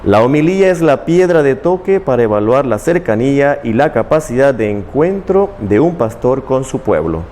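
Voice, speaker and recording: A middle-aged Salvadoran man, a Claretian missionary priest, explaining things in a calm, measured way and often ending with "¿verdad?". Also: medium pitch. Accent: Salvadoran Spanish. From El Salvador, Chalatenango.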